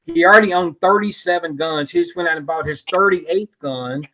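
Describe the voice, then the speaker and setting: Bright Southern man telling jokes. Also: fairly high pitch, brisk, dull audio. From North Carolina. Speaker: stand-up comedian. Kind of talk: stand-up set on a video call.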